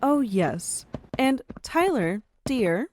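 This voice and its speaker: A woman playing a commanding mafia madam in an audio drama, measured and mock-sweet with authority underneath. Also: fairly high pitch, sing-song, darkish tone, slight background noise, full character voice. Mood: smug. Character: commanding mafia madam, silkily polite yet menacing. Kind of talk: audio roleplay acting.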